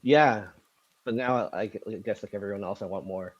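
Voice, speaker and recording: A man with a raspy voice, chatting as a guest on a live online audio stream, relaxed and rambling with lots of fillers. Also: on the low side, moderate pace, dry recording, dull audio. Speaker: guest speaker. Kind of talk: casual live audio chat.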